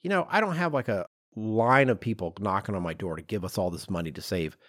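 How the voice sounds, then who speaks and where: A man's voice: lowish voice, brisk, fairly bright tone, no room sound, clean studio sound. A man, telling stories as a podcast co-host in an emphatic, self-deprecating, conversational way. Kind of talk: animated storytelling in casual podcast chat.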